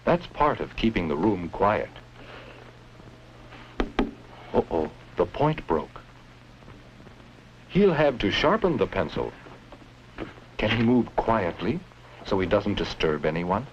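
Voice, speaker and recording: A man's voice: lowish voice, big pitch swings, unhurried, pauses often, background noise. A man narrating a 1950s school film, teaching with simple questions put straight to the viewer, on a muffled old soundtrack. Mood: instructive. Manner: didactic, addresses the viewer with simple rhetorical questions. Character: genial adult male narrator guiding schoolchildren.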